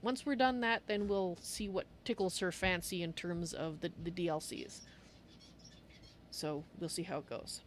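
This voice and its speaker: A woman's voice: fairly low pitch, unhurried, slight background noise. A woman livestreaming a roleplay game, chatting casually to her viewers. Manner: speaks of her character in the third person.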